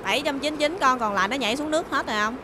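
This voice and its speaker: A woman's voice: high-pitched, rapid-fire, heavy background noise, close to an everyday voice. A woman voicing a small, mischievous cartoon rabbit, cheeky and nonchalant, with a southern Vietnamese accent. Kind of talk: animated comedy dialogue. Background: music.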